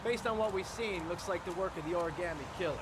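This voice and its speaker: An actor playing a brusque, cynical, impatient police lieutenant in a video game. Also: male voice, high voice, fast talker, close to an everyday voice. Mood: cynical, businesslike. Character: gruff, impatient, foul-mouthed male police lieutenant. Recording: loud outdoor machinery and traffic noise.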